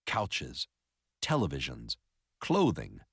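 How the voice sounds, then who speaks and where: Deep-voiced man reading aloud slowly. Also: huge pitch swings, creaky, fairly bright tone, breathy edge, no echo, clean studio sound. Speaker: narrator reading a story aloud. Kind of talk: slow, expressive educational read-aloud narration.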